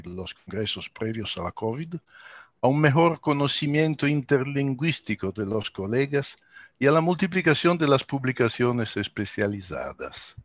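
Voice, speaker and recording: An older man with an Italian accent, a native Italian speaker, giving a formal prepared speech as a psychoanalyst, measured and careful with hesitant fillers, on a headset mic over a video call from a home study. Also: mid-range voice, dry recording, studio quality.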